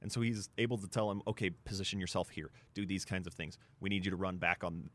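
A man with a fairly low voice co-hosts a casual sports discussion. He explains things conversationally and a bit haltingly, working through each point as he goes. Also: steady tone, a bit bright. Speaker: sports talk show co-host.

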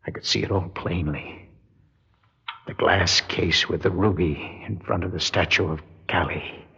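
A man's voice: sits low, measured pace, gravelly, pushed and bright, breathy edge, heavily acted. An actor playing a nervy, guilt-ridden burglar who tells his crime in a hard-boiled radio drama style. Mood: uneasy. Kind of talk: radio drama acting with first-person noir narration. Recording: muffled vintage radio recording, music behind.

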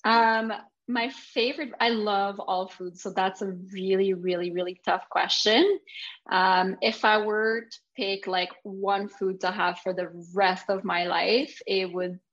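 A woman's voice: medium pitch, fairly even intonation, measured pace, a bit bright, highs cut off. A woman from Quebec with a French Canadian accent, chatting easily as a podcast guest and laughing readily. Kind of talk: casual interview chat.

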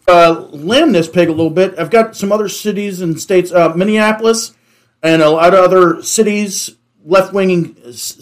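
A man hosting a casual panel discussion, speaking in halting, stop-start phrases with repeated words. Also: high voice. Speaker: talk show host.

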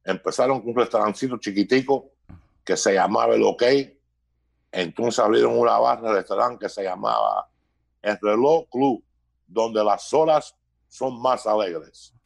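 A man's voice: lowish voice, a little slow, creaky, no echo. A middle-aged man with a Cuban accent shaped by New Jersey, a guest comedian in a loose, joking interview over a video call, mixing Spanish with English. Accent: Cuban, raised in New Jersey. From Cuba. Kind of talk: casual interview chat.

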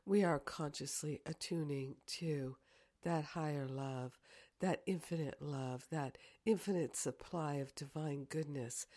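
A woman with a hoarse, croaky voice from a cold, leading a slow, earnest guided prayer full of affirmations. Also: low voice, dry recording, studio quality. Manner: soft, slow and earnest, repeating "grateful and thankful". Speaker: spiritual teacher and podcast host.

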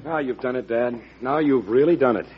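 An actor playing a president's grown son in an old-time radio drama, earnest, blunt and impatient but respectful. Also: male voice, medium pitch, fairly quick, slightly rough. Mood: exasperated. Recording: dull lo-fi old radio recording.

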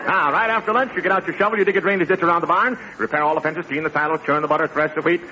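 A man playing a pushy, smooth-talking press agent who rattles things off confidently in a 1940s radio comedy sketch. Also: fairly high pitch, steady tone, rapid-fire, crowd noise, lightly acted. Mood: bossy. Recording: noisy, muffled 1940s radio recording.

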